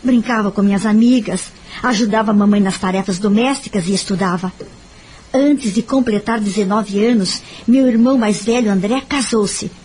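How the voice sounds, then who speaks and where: A woman's voice: medium pitch, normal speed, a little mellow, slight background noise, lightly acted, poor audio. A woman with a Brazilian accent acting a wounded young woman who confides her past in an audio novel. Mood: sad, reflective. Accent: Brazilian Portuguese. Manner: sorrowful, confiding.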